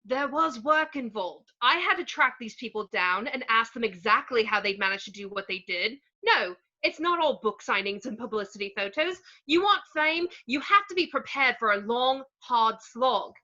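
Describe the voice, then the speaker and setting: A woman playing a vain, cowardly male wizard professor in a script read, pompous, halting and blustering. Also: fairly high pitch, expressive, bright, forceful tone, muffled recording. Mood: smug. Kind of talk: amateur table read of a film script.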